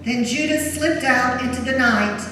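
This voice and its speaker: A woman reading as the solemn narrator of a Gospel drama, in formal, measured storytelling. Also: high voice, music in the background, natural voice. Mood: solemn narration. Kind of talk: scripted dramatic scripture narration. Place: large church during a service. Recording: very echoey church hall, distant mic, noisy.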